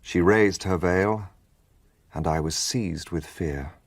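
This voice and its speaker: An actor playing an earnest, romantic gentleman who narrates and acts as a detective's companion in a radio drama. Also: low voice, slow pace, dry recording, exaggerated voice. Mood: reflective narration. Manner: earnest, expressive.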